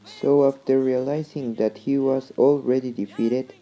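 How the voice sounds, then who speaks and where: Mellow guy w a reading history narration, guitar music behind him. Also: medium pitch, fairly even intonation, unhurried, lo-fi sound. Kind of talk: scripted narration of a student-style history newsreel. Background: guitar music under the voice.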